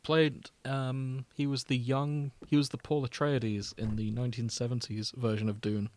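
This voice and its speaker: A man's voice: medium pitch, flat delivery, normal speed, darkish tone. A man hosting a podcast in casual banter, chatty, teasing and wry, sometimes reading fan fiction aloud. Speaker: podcast host.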